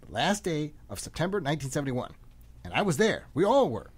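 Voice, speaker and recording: A man acting in a comic radio play as a frantic, rambling middle-aged man under arrest, excitable and often interrupting himself. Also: a little high, a little fast, a little hiss or hum. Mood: rambling, nostalgic.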